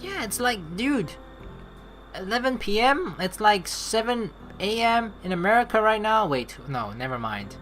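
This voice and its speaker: A woman doing casual let's play commentary and reading game dialogue aloud in an expressive, playful, sweary way. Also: medium pitch, measured pace, soft background music.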